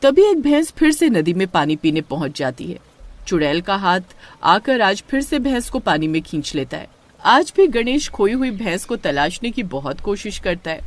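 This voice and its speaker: A woman reading scripted horror story narration as the storyteller. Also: medium pitch.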